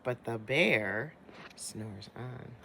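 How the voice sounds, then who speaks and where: A woman's voice: extremely low pitch, expressive, very slow, vocal fry, pushed and bright, a little hiss or hum. A woman teacher reading a children's picture book aloud, expressively, drawing out phrases and speaking directly to young listeners.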